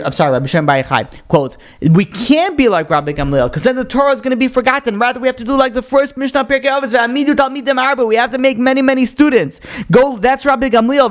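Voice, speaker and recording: A man, a rabbi, giving a casual Torah lesson, explaining with rhetorical questions and frequent restarts. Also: high-pitched. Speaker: rabbi teaching a Torah class.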